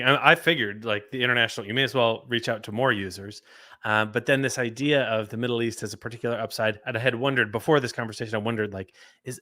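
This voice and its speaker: A man hosting a livestream interview with an energetic, talkative delivery, repeating words and adding plenty of fillers. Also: medium pitch, no echo, studio quality. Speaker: livestream host.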